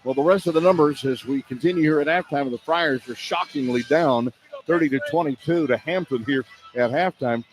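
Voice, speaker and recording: A man's voice: a little high, fairly quick, slight background noise, low-quality recording. A man working as a radio play-by-play announcer, delivering sports broadcast commentary and game statistics.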